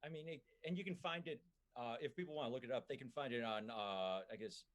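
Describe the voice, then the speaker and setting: A comedian with a Minnesotan accent, chatting on a podcast in a halting way full of fillers and restarts. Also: male voice, mid-range voice. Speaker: comedian guest. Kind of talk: casual podcast chat.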